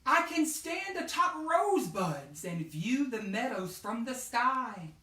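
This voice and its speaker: Man voicing an exultant, high-pitched young butterfly. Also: big pitch swings, pushed and bright, exaggerated voice. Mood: exultant wonder. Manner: exclamatory, drawn-out proclamations. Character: young male butterfly, exultant and full of wonder. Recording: roomy echo, distant microphone.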